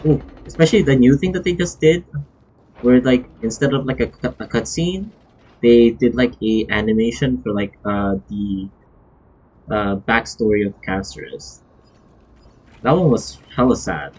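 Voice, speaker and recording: A man giving casual live-stream game commentary, thinking aloud in a halting, rambling way. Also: medium pitch, lively intonation, a little mellow, breathy edge. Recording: dull, lo-fi stream microphone.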